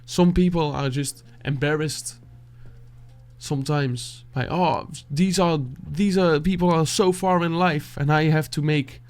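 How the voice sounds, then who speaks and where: A Dutch man, a native Dutch speaker, talking softly and haltingly, searching for words and sighing as he reflects after a song. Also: a little high, steady tone, clear, resonant tone, soft background music. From Netherlands. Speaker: beatboxer reacting to music. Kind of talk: reflective reaction talk.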